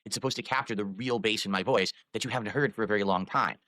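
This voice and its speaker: A man's voice: fairly low pitch, fast, bright, forceful tone, no room sound. A man live-streaming, rambling while he fiddles with his microphone and audio settings.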